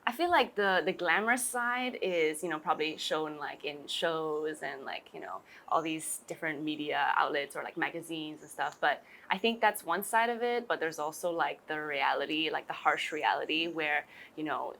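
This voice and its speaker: A young woman from Singapore in her twenties talks casually as an interview guest. Her voice sits somewhat low, and her sentences wander, full of "like" and "you know". She is recorded outdoors by a pool. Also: moderate pace, gravelly, few pauses, sharp tone. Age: 20s. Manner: chatty, says "like" and "you know" often.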